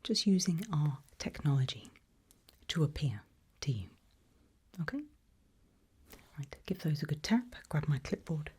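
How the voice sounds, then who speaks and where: British woman, whispered ASMR roleplay, calm clinic practitioner, mellow tone, slow unhurried delivery, soothing and attentive. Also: low-pitched. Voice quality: breathy, whispered. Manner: gentle, soothing, unhurried reassurance.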